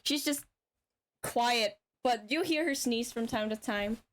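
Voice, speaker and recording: A young woman from Denmark streams a game and chats casually. Her voice sits on the high side. She is excitable and giggly, and swears freely. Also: a little slow. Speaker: live streamer. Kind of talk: casual gaming livestream chat.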